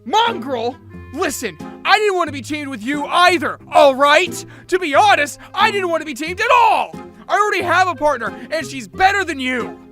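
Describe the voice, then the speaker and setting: A man's voice: very high voice, animated, moderate pace, sharp tone, exaggerated voice. A man playing an earnest young male student who narrates his own story in a brooding, first-person audio drama. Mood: pained, indignant. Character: earnest young male student, a beast-folk huntsman in training. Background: background music.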